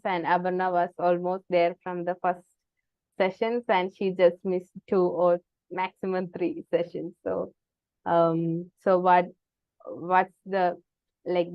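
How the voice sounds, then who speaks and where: A woman's voice: on the low side, clear, resonant tone, no echo, dull audio. A woman facilitating an online group session, speaking hesitantly with many restarts and fillers.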